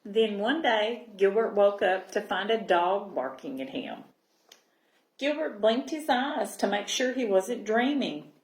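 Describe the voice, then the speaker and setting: Woman doing story time for little kids, takes it really slow, expressive, echoey room. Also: mid-range voice. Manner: expressive, pausing to check understanding with listeners. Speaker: children's library storyteller. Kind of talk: storybook read-aloud for young children. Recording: roomy, echoing sound.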